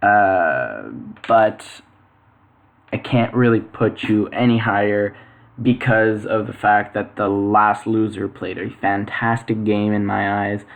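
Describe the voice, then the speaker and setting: A young man giving casual weekly power rankings for a game league, halting with lots of fillers and self-corrections. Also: on the low side, creaky. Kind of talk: casual commentary.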